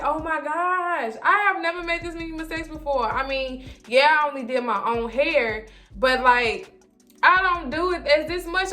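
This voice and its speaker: High-voiced young woman telling a story. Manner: animated, acts out her own reactions and quotes. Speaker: vlogger. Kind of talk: casual storytime chat to viewers.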